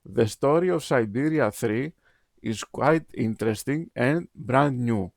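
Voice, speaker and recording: A man whose first language is Greek, reading his written game review aloud in a slow, deliberate, emphatic way with many pauses. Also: medium pitch, expressive, vocal fry, dry recording, clean studio sound.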